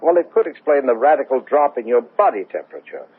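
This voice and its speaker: Earnest country doctor, tinny old radio. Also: male voice, mid-range voice, sing-song, husky. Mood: calm, reassuring. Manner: hesitant, earnest, halting with repeated words. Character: earnest, anxious country doctor confronting a scientist, loyal friend. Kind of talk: 1970s radio drama acting. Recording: tinny, noisy 1970s radio broadcast.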